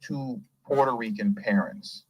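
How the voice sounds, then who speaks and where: A man hosting a casual commentary show, talking in an animated, emphatic and rambling way and laughing often. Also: lowish voice, measured pace, slightly rough, poor audio. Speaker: host.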